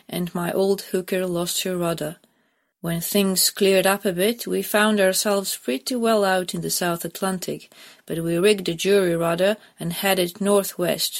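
A woman reading a story aloud as scripted audiobook narration. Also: mid-range voice. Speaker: volunteer audiobook reader.

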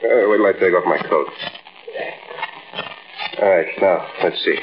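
A man's voice: low-pitched, measured pace. A man playing an uneasy, plain-spoken robber's accomplice who talks in short informal bursts in a 1940s radio drama. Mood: urgent. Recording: muffled, noisy old-time radio recording.

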